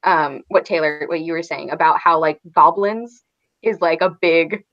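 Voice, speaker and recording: A woman's voice: sits low, normal speed. Dull-sounding woman in a casual book chat. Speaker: book discussion panelist.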